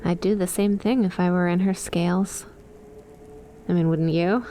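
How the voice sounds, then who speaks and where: Lady doing a lamia bestie for asmr roleplay, lowish voice, teasing and sweet, unhurried, noisy background. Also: steady tone, dark tone, lightly acted. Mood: sincere, chatty. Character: playful, affectionate young lamia snake-girl best friend. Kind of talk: ASMR roleplay, addressed to the listener.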